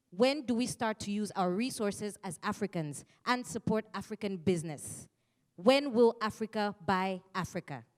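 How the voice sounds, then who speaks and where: A young woman from Zambia addresses a gathering in an impassioned speech. Her voice sits in a middle register, and she keeps the room with rhetorical questions that climb to forceful peaks. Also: mid-range voice. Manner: impassioned, rhetorical questions, builds to loud climaxes. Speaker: speaker addressing a fellowship gathering. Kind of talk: rousing speech.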